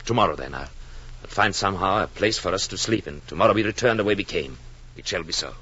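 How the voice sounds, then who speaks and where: An actor playing an earnest, brooding European husband and expectant father who narrates his journal in solemn, literary, measured 1940s radio drama style. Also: sits low, lively intonation, sharp tone, slightly rough, dull audio, heavily acted. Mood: stern resolve. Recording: old 1940s radio, music behind.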